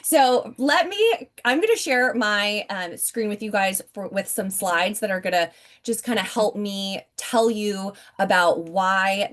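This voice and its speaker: A woman in her forties giving an upbeat, chatty sales pitch from home over a video call, often addressing her listeners directly. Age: 40s. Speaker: network marketing presenter.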